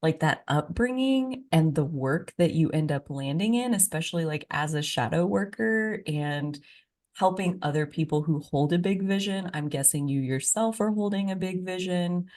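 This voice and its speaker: A woman's voice: on the low side, moderate pace, darkish tone. A woman hosting a podcast interview, enthusiastic and rambling, with many filler "like"s. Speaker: podcast host.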